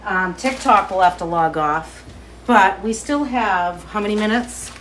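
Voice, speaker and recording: A woman with a light Boston accent hosting a live cooking demo from her kitchen, chatty and excitable as she talks to viewers. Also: mid-range voice, a little slow, a little hiss or hum, poor audio. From Boston area. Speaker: cooking show host. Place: home kitchen, indoors.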